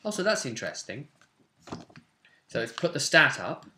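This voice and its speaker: A man's voice: mid-range voice, sing-song, normal speed, sharp tone, a little hiss or hum. A man in his twenties casually talking through tabletop game rules and reading parts aloud, recorded at a desk in a small room at home. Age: 20s. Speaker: tabletop roleplay channel host.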